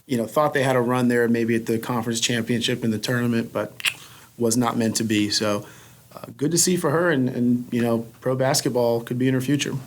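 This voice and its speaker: A male co-host of a sports talk show speaks at an everyday middle pitch. He is conversational and chatty, with quick asides. He peppers his lines with "like" and "you know". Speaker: podcast co-host. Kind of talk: casual sports talk and interviewing.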